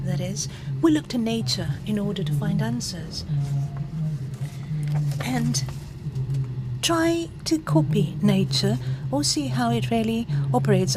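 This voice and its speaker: A woman giving simultaneous interpretation of a conference talk, halting and full of pauses and fillers as she keeps pace with the speaker. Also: mid-range voice. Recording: interpretation feed with background noise.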